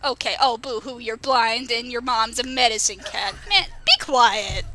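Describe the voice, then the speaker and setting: A woman's voice: fairly high pitch, moderate pace, bright, forceful tone. A woman playing a grumpy, sarcastic elderly medicine cat in conversational, improvised question-and-answer banter, hesitating as she goes. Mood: sarcastic, irritated. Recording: music playing behind the voice.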